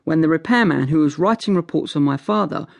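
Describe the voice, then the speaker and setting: Naive, lively young storyteller, slightly creaky. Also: male voice, on the high side, a little fast. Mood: wry, deadpan. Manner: naive, matter-of-fact storytelling with deadpan humour. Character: naive boy narrator recalling his Communist-era childhood. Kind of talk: radio drama monologue.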